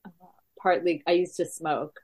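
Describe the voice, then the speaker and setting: A middle-aged woman stand-up comedian with a gravelly voice, talking in a halting, casual interview with many fillers. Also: fairly even intonation, a little mellow. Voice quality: gravelly, deeper voice.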